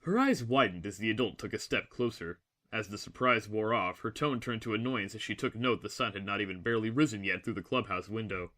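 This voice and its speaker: A man giving a scripted, solemn and measured narration of a dramatic story. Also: lowish voice, sharp tone.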